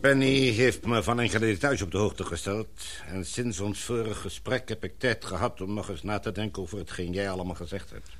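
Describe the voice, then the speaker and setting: An actor playing a grave, fatherly older gentleman in a radio drama, formal and measured. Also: fairly low pitch, close to an everyday voice. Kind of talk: radio drama acting in Dutch.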